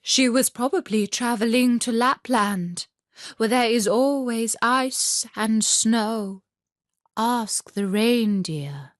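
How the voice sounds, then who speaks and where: A woman voicing a gentle, mournful wood pigeon in a children's fairy tale, with drawn-out cooing delivery. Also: a little high, no echo, studio quality. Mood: slow, informing.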